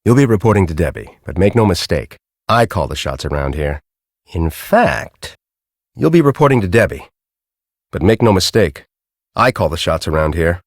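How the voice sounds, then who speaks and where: Grumpy middle-aged game professor, American accent, sarcastic, exasperated, widely varying pitch, dry close studio sound. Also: male voice, very animated, normal speed, no room sound, heavily acted. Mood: bossy, curt. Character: grumpy middle-aged male storm-chasing professor, bossy and cynical. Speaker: video game character, research team leader. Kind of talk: video game voice acting.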